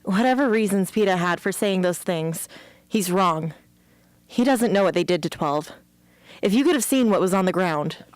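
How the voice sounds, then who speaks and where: A woman's voice: on the low side, lively intonation, moderate pace, no echo, natural voice. A woman playing a troubled, determined teenage girl rebel in an amateur radio drama. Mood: resolute.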